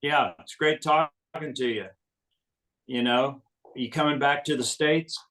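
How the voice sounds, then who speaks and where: Casting: male interviewer, mid-pitched, conversational, heard some way off the microphone. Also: unhurried, a bit bright. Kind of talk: casual conversation. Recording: distant from the microphone.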